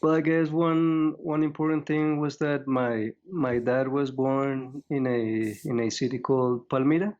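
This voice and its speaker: A man from Colombia speaking as an interview guest, modest and soft-spoken, often repeating words as he talks. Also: mid-range voice, level tone, a little slow, few pauses, dull audio. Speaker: guest, tea adviser. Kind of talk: casual interview.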